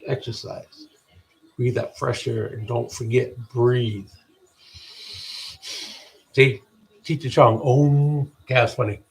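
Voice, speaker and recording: A deep-voiced man from the United States hosting a podcast, giving a halting, rambling spiritual pep talk full of restarts. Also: steady tone, vocal fry, low-quality recording. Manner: halting, restarts often, repeats "people". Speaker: podcast host.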